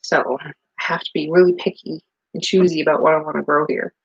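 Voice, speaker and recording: A woman's voice: low-pitched, fairly even intonation, a little fast, breathy edge, muffled recording. A middle-aged woman from New England chatting casually in her home kitchen while she makes soap, rambling with lots of fillers. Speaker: soap maker and vlogger.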